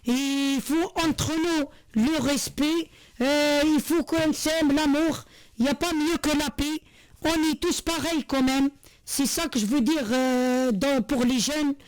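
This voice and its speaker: Woman, high voice, sincere vlog monologue, halting and repetitive. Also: fairly even intonation, poor audio. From Algeria. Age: 40s. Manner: earnest, repetitive, many hesitations and restarts. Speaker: vlogger. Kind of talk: heartfelt monologue to camera.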